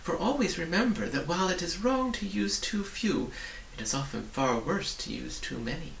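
A man voicing a sad, gentle old woman who speaks formally and wistfully, as in a storybook. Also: on the high side, lively intonation, normal speed, creaky, voice pushed up. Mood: resigned. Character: sad, gentle old woman, a disgraced former royal official. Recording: roomy, distant mic, hissy.

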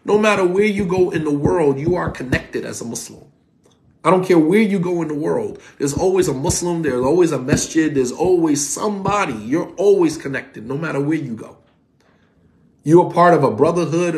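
Animated man teaching from home. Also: fairly high pitch. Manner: animated, conversational, repeats points for emphasis. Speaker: Islamic teacher. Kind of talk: online class lecture. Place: home room, indoors. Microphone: phone camera at desk.

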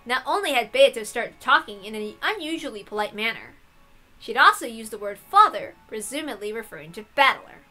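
A high-voiced woman reads a story aloud with big swings in pitch. She is excitable and irreverent, slipping between dramatic narration and casual, sweary joking, over soft background music. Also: a little fast. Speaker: host reading a visual novel aloud.

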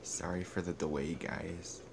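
A young man talking casually and a bit haltingly on a homemade prank-call video shot indoors on a handheld phone. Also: deep voice, level tone, creaky, background noise. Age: young adult. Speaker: prank caller and video maker. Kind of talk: casual phone prank talk with muttered asides. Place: small bedroom, indoors. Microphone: phone at arm's length.

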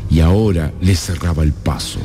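A man narrating a radio drama, dramatic and scripted, with measured, suspenseful phrasing. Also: rumbling low, over music.

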